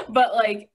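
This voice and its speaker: A young woman with an American accent, chatting casually and thinking aloud in a halting, giggly way. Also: on the high side. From United States. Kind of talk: casual chat.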